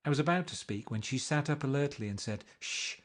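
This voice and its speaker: A man reading a classic novel aloud, narrating in a measured, gently expressive way. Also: medium pitch. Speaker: reader.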